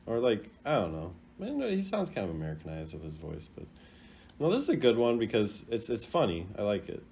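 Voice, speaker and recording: A man's voice: on the low side, wildly swooping pitch, fairly quick, gravelly, darkish tone, slight background noise. A man doing casual reaction commentary as a gaming creator, thinking aloud in an informal, rambling way. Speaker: video game content creator ranking voice lines.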